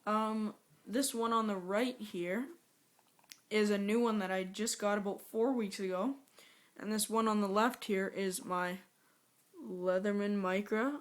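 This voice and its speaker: A woman with a hoarse, scratchy voice from a sore throat, chatting casually through a rambling product unboxing review. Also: mid-range voice, fairly even intonation, unhurried. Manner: rambling, chatty, self-aware.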